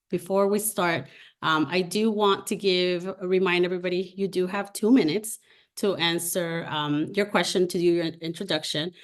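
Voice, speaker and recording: A woman moderating a candidate forum informally, with a hesitant, halting delivery. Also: fairly low pitch.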